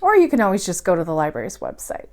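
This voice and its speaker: A woman reading a classic adventure story aloud as a storytime host, with dramatic emphasis and deliberate pauses. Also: mid-range voice, huge pitch swings, darkish tone. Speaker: library storytime host and reader. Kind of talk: expressive bedtime audiobook-style reading of a classic adventure novel.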